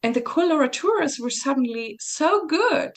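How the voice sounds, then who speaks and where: A woman singer being interviewed, talking thoughtfully about her voice with many pauses and restarts. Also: high-pitched, animated. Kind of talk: reflective conversational interview.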